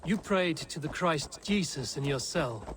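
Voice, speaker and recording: An actor playing a steady, honourable Viking warrior in a video game, level-headed and firm. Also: male voice, fairly high pitch, measured pace, noisy background. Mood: curious. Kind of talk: dramatic video game acting.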